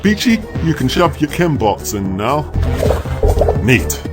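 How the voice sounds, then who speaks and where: Man playing a brash, slow-talking space schemer. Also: mid-range voice, sing-song, loud music behind the voice. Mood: cocky. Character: cocky, slangy space-adventuring schemer. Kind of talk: comic science fiction audio drama.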